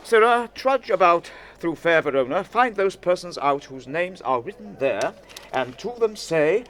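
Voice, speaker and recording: Slow-spoken, expressive old nobleman. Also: sits high, a little hiss or hum. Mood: brisk, commanding. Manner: measured, declamatory, verse-speaking. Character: old nobleman, doting father, gracious and commanding host. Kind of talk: classical Shakespearean audio drama acting in verse.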